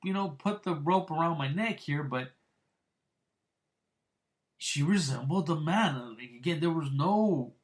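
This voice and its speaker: A man hosting a boxing podcast, rambling with many restarts and crude, blunt remarks, swinging between loud excited bursts and low mutters. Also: a little slow, long pauses. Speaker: podcast host. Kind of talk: casual boxing podcast commentary.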